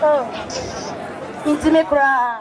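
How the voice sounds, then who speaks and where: An actress playing an excitable, loud woman in a school stage play. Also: high voice, crowd noise. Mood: excited. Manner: exclamatory, rapid and animated. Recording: echoey hall with a noisy audience.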